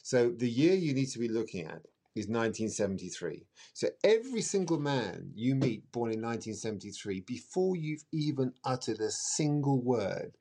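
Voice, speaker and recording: A middle-aged man explaining things conversationally as a podcast guest, emphatic, with dramatic pauses and repetition. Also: mid-range voice, sing-song, warm tone. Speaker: astrologer guest.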